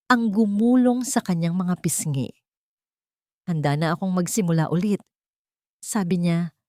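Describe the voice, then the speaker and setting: A woman narrating a scripted romantic drama with sentimental, deliberate phrasing. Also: lowish voice, darkish tone, no room sound, studio quality.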